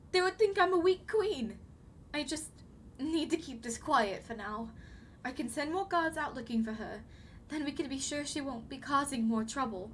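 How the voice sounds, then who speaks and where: A woman voicing a young siren queen, gentle, anxious and apologetic, speaking formally and hesitantly to the listener in an ASMR roleplay. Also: sits high. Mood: anxious, flustered. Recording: clearly noisy background ambience.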